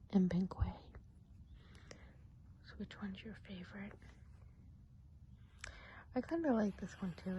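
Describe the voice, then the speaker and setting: A soft, breathy whisper with a dark, mellow tone comes from a gossipy woodland witch, played by a woman in an ASMR roleplay. She confides chattily in the listener and asks gentle questions, over clearly audible background noise. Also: on the low side, natural voice, poor audio.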